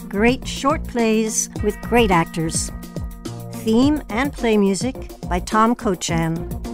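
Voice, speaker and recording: A woman hosting a radio show, reading prepared questions and announcements. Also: medium pitch. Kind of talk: scripted hosting and interviewing.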